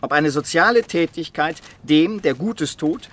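An actor playing a smug, well-off environmental activist in a radio drama, talking in condescending rhetorical flourishes. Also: male voice, on the high side, animated, a little slow, fairly bright tone. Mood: smug, amused. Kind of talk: naturalistic radio drama dialogue.

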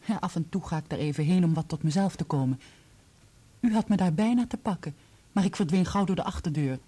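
An actress in a Dutch radio play playing a composed, candid divorced woman who speaks in a measured, probing way. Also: fairly low pitch, warm tone, breathy edge. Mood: candid, reflective.

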